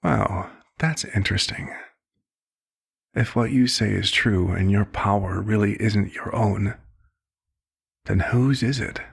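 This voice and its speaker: A man playing a concerned, paternal vampire doctor in an ASMR roleplay, speaking formally and deliberately in a husky voice. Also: on the low side, exaggerated voice. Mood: probing, measured.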